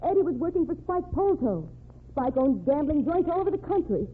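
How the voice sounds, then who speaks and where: A woman's voice: sits high, sing-song, fairly quick. An actress playing a loyal, determined young American working girl in an old crime radio drama, earnest and plain-spoken. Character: loyal, determined working-class young woman, gangster's sweetheart. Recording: tinny noisy old radio recording.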